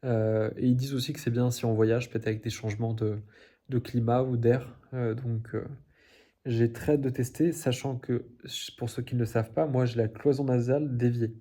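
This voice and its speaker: Young guy vlogging his day, super dark mellow voice, pretty flat, lots of euh and restarts. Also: medium pitch, moderate pace. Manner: rambling, many fillers and restarts; laughs at his own slips. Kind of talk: casual daily video diary.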